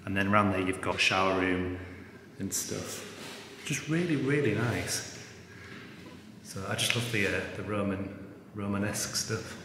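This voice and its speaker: A man's voice: deep voice, measured pace, long pauses, background noise. A man talking casually and informally as a travel vlogger, with an upbeat, chatty manner. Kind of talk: casual vlog chat.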